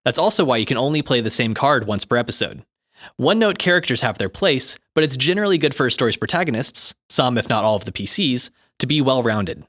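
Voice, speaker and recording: A man's voice: medium pitch, lively intonation, fast talker, fairly bright tone, dry recording, studio quality. A man reading scripted explanatory narration in an articulate, instructive way, like an audiobook of a guide. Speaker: co-host reading game rulebook commentary.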